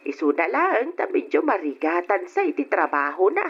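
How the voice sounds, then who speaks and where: A woman's voice: lowish voice, sing-song, moderate pace, husky. A woman playing an elderly, practical grandmother in a radio drama, fretful and firm with her husband. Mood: concerned. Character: elderly grandmother, concerned, practical, disapproving wife. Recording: dull lo-fi radio drama recording.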